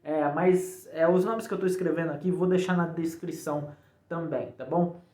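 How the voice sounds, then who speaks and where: A man's voice: a little high, normal speed, dark tone. A man with a Brazilian accent giving a casual, informal explanation to viewers, often checking in with short tags. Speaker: programming tutorial YouTuber. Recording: echoey untreated room.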